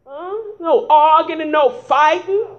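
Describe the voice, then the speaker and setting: A woman preacher with a strikingly high voice delivers a sermon in plain folksy speech. She takes her time and keeps prodding the congregation with calls of "huh?" as she rises into shouts. The recording is dull and lo-fi. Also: unhurried. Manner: emphatic call-and-response preaching with frequent "huh?" prompts; folksy vernacular, rising to shouts.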